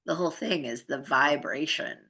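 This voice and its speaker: Middle-aged woman, low pitch, creaky vocal fry, casual halting explanations. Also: bright, forceful tone. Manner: halting, searching explanations with rhetorical questions. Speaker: co-host of a spiritual healing talk show. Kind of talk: casual conversational chat.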